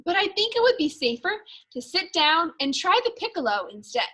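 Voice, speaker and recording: A woman voicing a kindly, apologetic male school band leader in a storybook, gently reasoning with a small child. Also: very high voice, sing-song, moderate pace, heavily acted.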